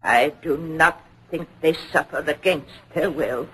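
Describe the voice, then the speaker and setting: A woman's voice: sits low, measured pace, fairly bright tone, breathy edge, exaggerated voice, poor audio. An actress playing a frail, bewildered but steadfast old woman, answering hesitantly in an old radio witch-trial drama. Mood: bewildered, resolute. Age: older. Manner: faltering, hesitant. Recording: old radio recording with faint noise.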